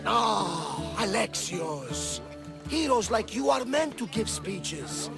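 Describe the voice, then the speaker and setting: Hearty old sea captain, high-pitched, gravelly, loyal, fondly chiding, video game scene, music behind. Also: male voice, expressive, slow pace, heavily acted. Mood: fond encouraging. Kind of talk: video game cutscene acting.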